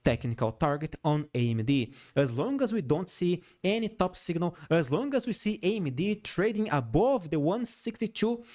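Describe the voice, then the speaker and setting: A Brazilian man with a Portuguese accent, a non-native English speaker hosting stock chart analysis, who often repeats himself and adds "all right?" to his points. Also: high-pitched. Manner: animated, repeats and restarts phrases, frequent "all right?" tag. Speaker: host.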